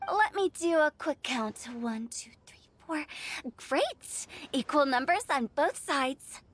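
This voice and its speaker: A woman playing a cheeky, exuberant young funeral parlor director in a video game, theatrical and brisk like a master of ceremonies. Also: high-pitched, animated. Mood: teasing, brisk. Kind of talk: English video game voice acting.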